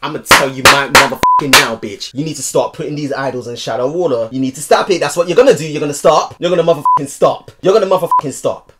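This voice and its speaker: A man's voice: fairly high pitch, fast, hardly any pauses, heavy background noise. A young man hosting a music video reaction from his bedroom, sassy and exclamatory, swearing freely. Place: bedroom at home.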